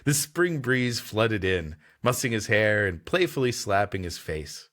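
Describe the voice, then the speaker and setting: Male podcast host: dry, wry story reader, bright voice, lots of pitch movement. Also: big pitch swings, measured pace. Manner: dry, wry reading voice that breaks into joking asides. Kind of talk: casual banter mixed with story reading.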